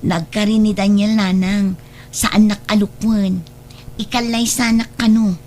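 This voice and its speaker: A woman acts as a devoted, trusting grown daughter in a radio drama. Her voice sits at a medium pitch and her delivery is earnest and reassuring. A faint hum runs behind it. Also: little pitch movement, a little slow, darkish tone, natural voice. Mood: pleading. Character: trusting, devoted adult daughter and market vendor in love.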